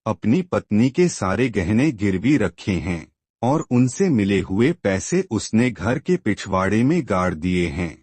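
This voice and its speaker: A man acts an earnest, respectful younger relative in a cartoon moral tale. His voice is fairly low and slightly rough, with animated intonation at a slow pace. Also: dry recording. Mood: surprised, earnest. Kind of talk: Hindi moral-story cartoon dubbing.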